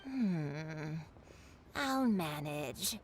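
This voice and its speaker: A woman voicing a kindly but shrewd old granny who sells kites in a video game, with an aged, gentle, deliberate delivery. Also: low-pitched, lively intonation, a little hiss or hum, full character voice. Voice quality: aged, grandmotherly.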